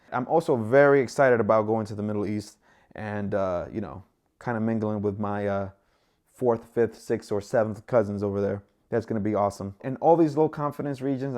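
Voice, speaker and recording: A young man from the Dominican Republic talking to camera in a relaxed, chatty vlog, with plenty of fillers. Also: mid-range voice. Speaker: travel vlogger. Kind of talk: casual vlog monologue.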